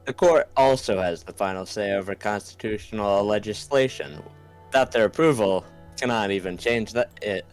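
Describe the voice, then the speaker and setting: A man's voice: lowish voice, moderate pace, clean tone, natural voice. A man playing an earnest reformist politician in a political game, making his points in a declarative way. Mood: earnest resolve. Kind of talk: scripted political game voice-over. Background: background music.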